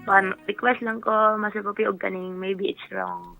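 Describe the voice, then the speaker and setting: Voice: medium pitch, wildly swooping pitch, moderate pace, creaky, over music, low-quality recording. A radio phone-in caller with a Bisaya Filipino accent, chatting casually and hesitantly, searching for words. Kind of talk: casual chat.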